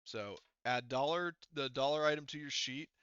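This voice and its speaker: A man running an online tabletop game as game master, talking casually and haltingly as he thinks aloud while troubleshooting. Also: medium pitch, fairly bright tone, smooth, no echo, highs cut off.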